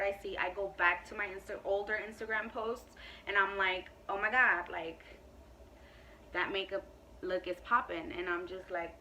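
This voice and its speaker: A woman's voice: medium pitch, pushed and bright, far from the mic, slight background noise, poor audio. A woman in her twenties chatting casually to camera in a beauty Q&A, emphatic and full of "like" and "you know.". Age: 20s. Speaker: beauty vlogger.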